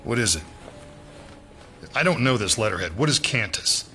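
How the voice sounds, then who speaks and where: A man playing a gruff, indignant senior FBI boss in an audio drama, blunt and demanding. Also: on the low side, expressive, moderate pace, sharp tone. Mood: grim disbelief.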